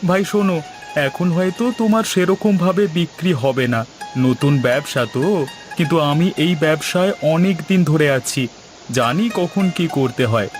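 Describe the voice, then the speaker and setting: A man's voice: fairly high pitch, expressive, normal speed, natural voice. A man playing a kindly, devout village market trader in a cartoon, talking in an earnest, folksy, advisory way. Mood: friendly, advising. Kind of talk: cartoon voice acting. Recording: music behind the voice.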